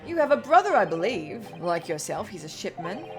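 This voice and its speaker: A woman's voice: mid-range voice, very animated, normal speed, lightly acted. A woman voicing a pompous, theatrical male Victorian great detective who speaks grandly and formally. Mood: grandiose. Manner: grandiose, self-congratulatory, British-style formal speech. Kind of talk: voicing characters while playing a game. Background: game music.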